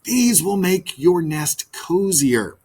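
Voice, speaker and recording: Man voicing an exasperated lady magpie teacher, drawn-out. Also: sits high, sing-song, darkish tone, slightly rough, voice pushed up, full character voice. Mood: instructing. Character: frustrated female magpie teacher, bossy and exasperated. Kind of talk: storybook character voice.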